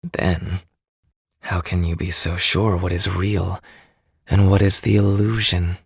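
A youthful, composed royal in a fantasy game. The voice is breathy and regal, each line slow and deliberate, recorded dry. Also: female voice, a bass voice, little pitch movement, no echo, exaggerated voice. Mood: probing, calm. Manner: earnest, deliberate. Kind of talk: dramatic game voice acting.

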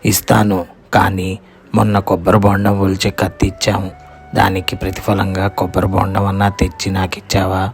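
A man as a deep-voiced old village landowner. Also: completely flat delivery, normal speed, soft background music. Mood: stern, admonishing. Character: grasping old village landowner, expects payback for favours. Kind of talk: moral story cartoon dialogue.

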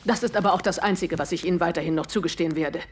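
An actress playing a haughty, indignant widow in an audio drama, speaking formally and with control. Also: female voice, fairly low pitch, rapid-fire, slight background noise, lightly acted. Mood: cold, affronted.

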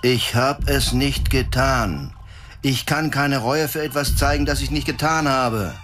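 A man's voice: mid-range voice, measured pace, fairly bright tone, slight background noise, natural voice. An actor in a German radio drama playing a stoic, weary condemned man, terse and plain-spoken. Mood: firm, pained. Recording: music behind the voice.

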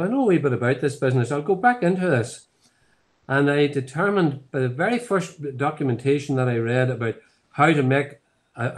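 Chatty older Northern Irish man. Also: medium pitch. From Northern Ireland. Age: 70s. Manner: rambling storyteller with many fillers and restarts. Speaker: whiskey distillery founder. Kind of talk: online tasting conversation. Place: home office. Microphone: laptop webcam on video call.

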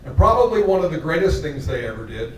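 A man's voice: fairly high pitch, big pitch swings, fast, slight background noise. An older man from Texas giving an award acceptance speech on stage, telling stories in a jovial way with a handheld microphone. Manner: jovial, storytelling, laughs along with the crowd. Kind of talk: anecdotal speech to an audience. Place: large hall, on stage. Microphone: handheld mic, filmed from far off. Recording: echoey hall, far from the camera.

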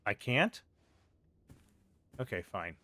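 A man's voice: on the low side, sing-song, slow pace, bright, forceful tone, slight background noise. A man hosting a let's play, chatting casually and thinking aloud as he plays, with wry asides. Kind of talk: casual gameplay commentary.

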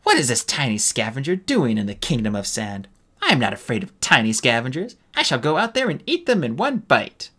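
A man's voice: mid-range voice, huge pitch swings, fairly quick, pushed and bright, husky, full character voice. A man voicing a small, nervous young female dragon who hams it up as a pompous queen. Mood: mock royal. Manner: hammy playacting a pompous queen.

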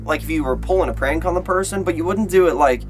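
A man's voice: a little high, fast, soft background music. A man in his twenties hosting a video, talking casually to camera in a rambling, emphatic way and repeating himself. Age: 20s. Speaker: video host.

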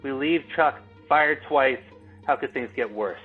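A man's voice: medium pitch, unhurried. A man reading stage directions as narrator of a script table read. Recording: tinny, phone-like call audio with music.

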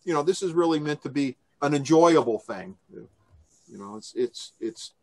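A man's voice: fairly high pitch, lively intonation, a little slow, a little mellow. An older man giving an informal, explanatory briefing and answering questions over a video call. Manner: explanatory, conversational. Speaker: presenter taking questions. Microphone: video call microphone.

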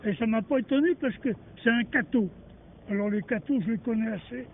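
A man's voice: very high-pitched, brisk. An older man answering a street interview outdoors, talking bluntly and with indignation into a handheld mic. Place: outdoors by a street car park. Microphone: handheld mic held by interviewer. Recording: phone-like sound, street noise.

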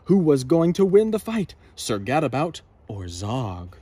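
A man in his thirties reading a children's story aloud outdoors in an expressive, dramatic manner. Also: medium pitch, unhurried, slight background noise. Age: 30s. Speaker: storybook reader. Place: outdoors in a garden.

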